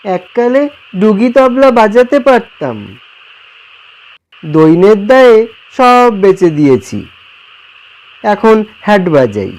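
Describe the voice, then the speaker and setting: A man voicing a meek, eccentric older gentleman fallen on hard times, earnest and apologetic, in a story. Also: high voice, big pitch swings, slow, long pauses, pitched above the performer's own voice, exaggerated voice, poor audio. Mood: sheepish, wistful. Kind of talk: acted dialogue in an audio story.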